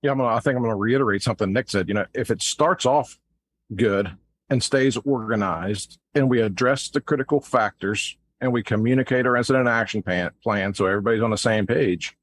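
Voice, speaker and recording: A man's voice: mid-range voice, brisk. A man co-hosting, giving casual, analytical commentary in conversational sentences. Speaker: podcast co-host.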